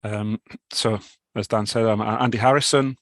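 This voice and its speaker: A middle-aged British man with a cold, giving a talk over a video call, halting with many restarts. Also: fairly low pitch, steady tone, dry recording. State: has a cold, clears throat. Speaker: guest speaker. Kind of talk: online talk presentation.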